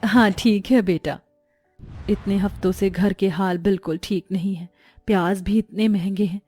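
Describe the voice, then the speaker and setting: A woman playing a gentle, worried, patient mother and wife in a moral-story cartoon. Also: medium pitch, fairly even intonation, moderate pace, breathy edge, soft background music, close to an everyday voice.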